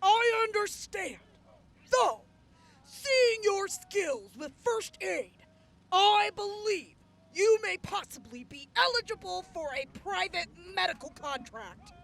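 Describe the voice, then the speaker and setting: An actress plays a woman militia officer with a very high, bright voice. She is composed and courteous, and speaks formally, as in an audio drama about war. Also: animated, vocal fry, full character voice. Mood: businesslike, courteous. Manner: formal, measured, polite.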